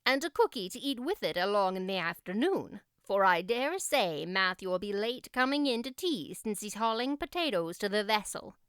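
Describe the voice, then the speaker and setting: Audiobook role: woman as no-nonsense older female guardian, clear, dry, scolding delivery. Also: medium pitch, very animated, normal speed, hardly any pauses, fairly bright tone, no room sound. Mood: firm. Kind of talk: audiobook character voice.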